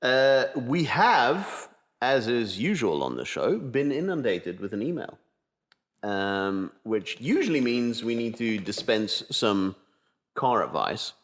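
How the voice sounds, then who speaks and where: A man from the UK hosting a casual car podcast, steering the talk along in a lively way. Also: medium pitch, takes it slowly. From United Kingdom. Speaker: podcast host. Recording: long room reverb.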